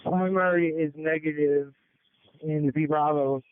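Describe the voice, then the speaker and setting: Male incident commander, high clear voice, terse orders, thin narrowband radio sound. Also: steady tone, unhurried, no room sound. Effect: two-way radio. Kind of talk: fireground radio traffic. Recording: phone-like narrowband radio transmission.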